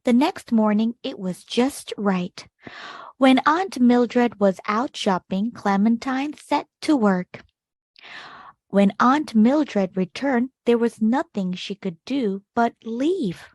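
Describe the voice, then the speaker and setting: A woman's voice: on the high side, lively intonation, slow, a little mellow, no room sound, studio quality. A woman reading a picture book aloud to children as a storytime host, leaning on key words as she tells it. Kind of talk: expressive picture-book reading with short asides to young listeners.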